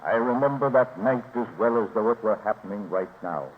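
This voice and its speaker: A man acting as a middle-aged composer with a low voice, telling his memories in measured, teasingly drawn-out fashion. It is a 1920s radio drama, thin and narrow in sound. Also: close to an everyday voice. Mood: suspenseful recollection. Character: famous middle-aged composer reminiscing, unhurried storyteller. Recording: scratchy, narrow-band 1920s radio transcription, noisy.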